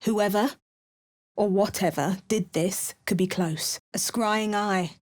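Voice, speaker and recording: A woman's voice: mid-range voice, takes it slowly, darkish tone, no echo. Dry, wry adventurer woman. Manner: wry, understated asides and narration. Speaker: video game player character. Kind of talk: video game voice lines.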